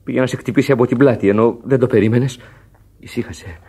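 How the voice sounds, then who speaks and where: An actor playing a loyal, gruff sailor who comforts his friend in a Greek radio drama, earnest and soothing. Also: medium pitch, dark tone. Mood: soothing, defiant. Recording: radio play with music underneath. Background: music.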